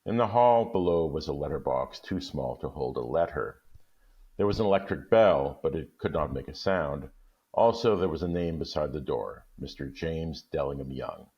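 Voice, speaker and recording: A man with an extremely deep, low voice reads a classic short story aloud at an unhurried, even pace. The recording is muffled and lo-fi. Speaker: guest reader.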